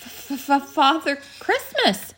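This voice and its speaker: A woman's voice: medium pitch, sing-song, normal speed, gravelly, a little mellow, husky, exaggerated voice. A woman voicing a timid, courteous old male fox who speaks deferentially and nervously in a storybook reading. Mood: stammering fear.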